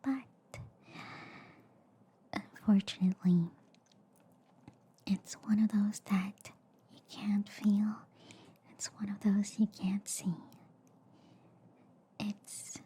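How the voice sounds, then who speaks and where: Softly whispering alien girl doctor, played by a woman. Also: medium pitch, level tone, extremely slow, pauses often, heavily acted. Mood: gently explaining. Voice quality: soft, breathy whisper. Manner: soothing, hesitant, repeats reassurances softly. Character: friendly alien girl doctor, reassuring, slightly shady. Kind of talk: whispered ASMR roleplay, soft talking. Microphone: close ASMR microphone. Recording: faint background noise, close-up ASMR.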